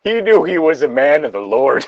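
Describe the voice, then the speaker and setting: A man with a slightly high voice, recorded dry. He reads aloud and banters casually in a sardonic, incredulous way, playing it for comedy. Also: very animated, normal speed, darkish tone, dry recording, lo-fi sound. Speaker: co-host reading fan fiction aloud.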